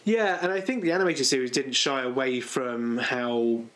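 A man's voice: mid-range voice, moderate pace, fairly bright tone. A man co-hosting a casual podcast, giving firm opinions and explaining things at length. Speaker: podcast co-host. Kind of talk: casual chat about cartoons and comics.

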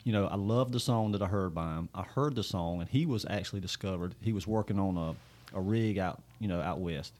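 A man's voice: sits low, a little fast, darkish tone. A man hosting a podcast, telling a story in a chatty, loose way with lots of fillers. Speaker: podcast host. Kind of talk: casual podcast conversation.

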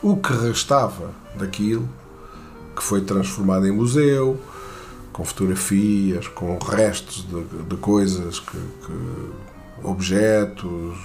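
A man from Portugal with a European Portuguese accent, telling a personal anecdote in a halting way, with many fillers and restarts. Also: deep voice, steady tone, gravelly, darkish tone, faint music behind.

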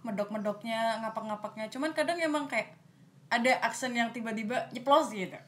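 A woman's voice: on the high side, a little fast. Young woman host in an echoey room. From Magelang, Central Java. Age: young adult. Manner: encouraging, prompts the others with questions. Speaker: podcast host, university student. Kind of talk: casual chat.

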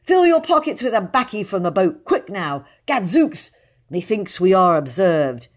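A woman's voice: medium pitch, sing-song, unhurried, pitched above the performer's own voice. A woman voicing a proud, defiant smuggler hero from a boy's story, speaking in a bold, declamatory way.

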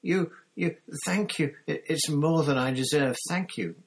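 A man voicing a cowardly, grovelling traitor in a storybook, stammering and whining as he begs with a rasping, gasping voice. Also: a little high, measured pace, voice pushed up. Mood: grovelling gratitude. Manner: stammering, repeating pleas.

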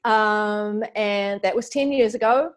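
A woman's voice: mid-range voice, slow, clear, resonant tone. Chatty New Zealand woman in her forties. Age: 40s. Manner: chatty, lots of fillers and quoted self-talk. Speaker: guest. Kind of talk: casual interview chat.

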